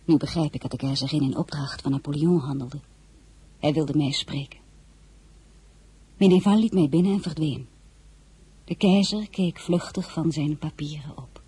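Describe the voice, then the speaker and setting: An actress playing a princess who narrates her memories in a radio drama, measured, composed and reflective. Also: deep voice, warm tone, slightly rough. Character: princess recalling her meeting with the emperor, composed.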